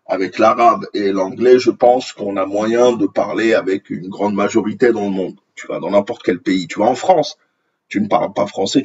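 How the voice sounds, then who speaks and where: A man's voice: on the low side, darkish tone, breathy edge, highs cut off. A man from Algeria, a native Arabic speaker, giving indignant, opinionated news commentary and repeating phrases for emphasis. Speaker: online commentator. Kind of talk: opinionated commentary on news articles read aloud.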